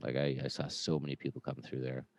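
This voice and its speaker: A man, a former police officer, telling his story as a podcast guest in a loud, earnest voice full of "uh"s and "um"s. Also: very deep voice, completely flat delivery, fast, creaky. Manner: earnest, with frequent "uh" and "um". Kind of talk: conversational personal storytelling.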